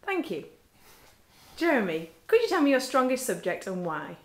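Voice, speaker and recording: A woman interviewing a quiz team, asking each member brisk, polite questions. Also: sits high, big pitch swings. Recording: echoey room.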